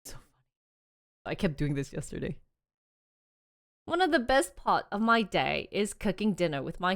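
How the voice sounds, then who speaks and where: A young woman with a Korean accent, chatting on a casual live stream, animated and laughing often as she reads sample sentences aloud. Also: medium pitch, clear, resonant tone.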